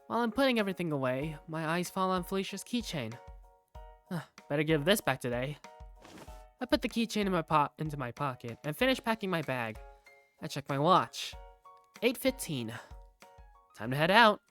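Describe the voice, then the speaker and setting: A woman's voice: low voice, big pitch swings, normal speed, long pauses, a bit bright, faint music behind. A woman narrating an amateur visual novel in the first person as its lead character, with a wry, conversational manner.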